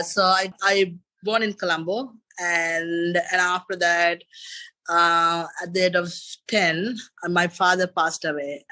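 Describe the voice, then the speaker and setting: A man in his thirties with a Sri Lankan accent talks in a bright, clear tone. He rambles loosely through his story as a podcast guest, looping back with filler phrases. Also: high-pitched. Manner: rambling, repeats "and after that" and "you know". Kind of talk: casual interview chat.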